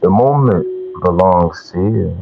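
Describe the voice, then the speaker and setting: A man narrating a guided meditation in a measured, soothing way, with long pauses between short phrases. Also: low-pitched, creaky. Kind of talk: slow spoken guided meditation. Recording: dull lo-fi sound, music underneath. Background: meditation music.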